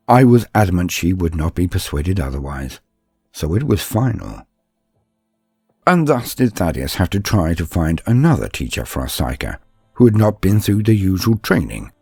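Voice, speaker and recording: A man voicing an earnest young armored psyker apprentice, recounting his tale in solemn, deliberate, formal words as dramatic story narration. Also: low voice, warm tone, breathy edge, no room sound, full character voice.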